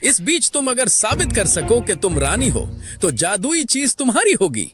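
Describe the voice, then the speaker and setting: High-pitched, swooping royal camel sheikh. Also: male voice, wildly swooping pitch, moderate pace, music in the background. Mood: indignant, doubtful. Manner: broken Hindi with muddled verb genders, exclamatory. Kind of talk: animated moral-story character acting.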